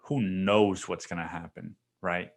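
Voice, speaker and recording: A man's voice: deep voice, steady tone, a little slow, gravelly. A young man hosting his own podcast, talking alone in a loose, rambling way, full of swearing and "dude". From Mexico. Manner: rambling, profane, filler-heavy with repeated "dude". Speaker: podcast host. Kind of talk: casual solo monologue.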